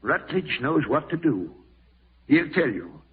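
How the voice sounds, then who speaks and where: An actor playing a stern, ailing old farm-owning uncle in a vintage radio drama, deliberate and folksy, with a gravelly, husky voice. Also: male voice, on the high side, very animated, full character voice. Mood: solemn, confiding. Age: older. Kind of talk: 1940s radio drama acting. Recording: muffled old radio recording.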